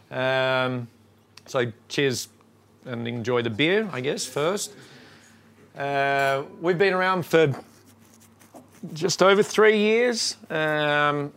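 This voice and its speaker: An Australian man with a gravelly, creaky voice talks to a crowd about his brewery. He speaks in a relaxed, halting way, with lots of pauses and fillers. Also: mid-range voice. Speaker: brewery co-owner. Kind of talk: casual talk to a shop crowd.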